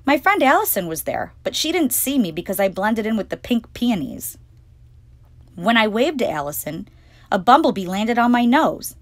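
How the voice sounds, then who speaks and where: A woman hosting storytime, reading a children's picture book aloud with expressive, clearly phrased delivery. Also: fairly high pitch, moderate pace, no room sound. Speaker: storytime reader and host.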